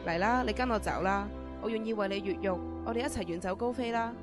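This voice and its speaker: An actress as a middle-aged female prisoner in a radio drama. She is manipulative and commanding, with a desperate edge. She talks quickly at a medium pitch, with music clearly underneath. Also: lightly acted. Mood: hurt, desperate. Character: scheming, desperate middle-aged woman prisoner plotting an escape. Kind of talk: amateur radio drama acting.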